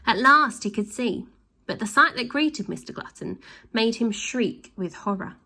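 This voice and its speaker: A woman reading a children's storybook aloud, with lively voices and sound effects. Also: fairly high pitch, measured pace. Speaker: storybook reader.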